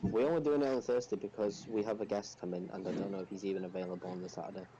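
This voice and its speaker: A man's voice: fairly low pitch, steady tone, rapid-fire, dark tone. A man co-hosting a podcast, speaking casually and giving informal sponsor reads, on a muffled lo-fi mic. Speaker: podcast co-host. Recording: muffled lo-fi mic with faint noise.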